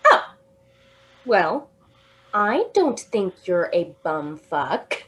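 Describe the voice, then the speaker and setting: A woman's voice: on the low side, big pitch swings, fairly bright tone, full character voice. A woman playing a giggly tipsy young woman. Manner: giggly, drawn-out tipsy delivery. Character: tipsy giggly young woman at a late-night train platform. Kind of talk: radio drama acting.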